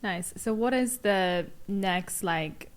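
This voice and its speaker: A woman hosting a podcast, asking gentle questions and giving short supportive replies. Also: mid-range voice, slow. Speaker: podcast host. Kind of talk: casual interview chat.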